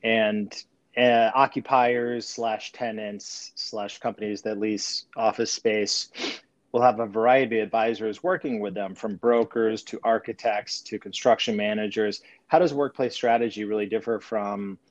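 A man hosting a conversational podcast interview, putting his questions in a slightly halting way. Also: lowish voice, fairly even intonation, no echo, dull audio.

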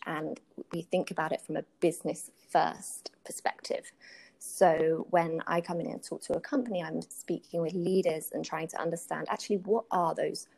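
A woman's voice: on the low side, a little mellow, poor audio. A woman with a British accent explaining her professional work in an articulate, conversational way as an interview guest. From United Kingdom. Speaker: podcast guest, workplace strategy consultant.

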